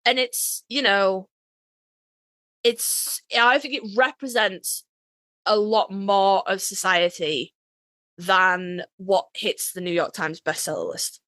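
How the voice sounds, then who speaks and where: Woman podcast host just chatting, bright voice, real dry sound, emphatic n sweary. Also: medium pitch, slow pace, no room sound. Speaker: author. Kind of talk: casual podcast chat.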